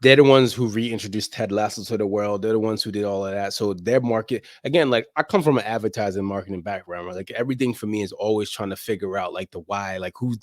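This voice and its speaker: A man's voice: fairly low pitch, fast, hardly any pauses, dry recording, studio quality. A middle-aged American man chatting casually as a podcast guest, using slang and repeating phrases for emphasis. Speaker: interview guest, soccer media personality.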